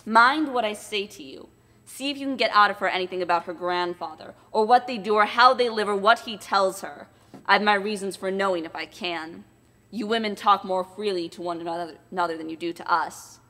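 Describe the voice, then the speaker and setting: A woman's voice: medium pitch, fairly quick, big-room sound, close to an everyday voice. A woman voicing a cruel male dwarf who barks orders and threats at his wife in a storybook reading. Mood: menacing. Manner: loud, commanding, threatening.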